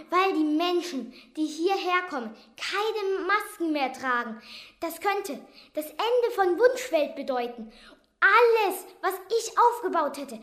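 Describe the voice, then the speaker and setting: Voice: very high voice, fairly bright tone, heavily acted. A child playing a kindly, earnest professor and inventor in an amateur radio play. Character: kindly worried male professor and inventor of a paradise.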